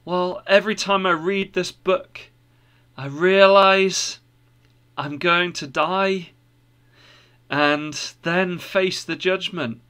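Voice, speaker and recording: A man's voice: sits high, steady tone, slow, sharp tone, smooth, voice pushed up, heavily acted. A man voicing an anguished, burdened seeker of eternal life in a storybook tale, pleading and repeating himself. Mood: despairing. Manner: pleading, repetitive.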